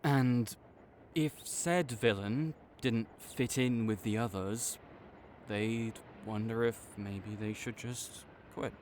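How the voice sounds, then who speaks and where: A man playing a proud supervillain in a dramatic audio roleplay, grudging and exasperated, with a secret soft spot that makes him flustered. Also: lowish voice, slow, slight background noise. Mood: exasperated confession. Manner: tsundere, grudging, exasperated, stammering when sincere.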